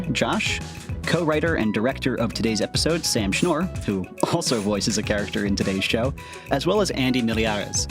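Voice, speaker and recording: Male podcast host over guitar music. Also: fairly low pitch. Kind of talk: scripted, conversational podcast narration.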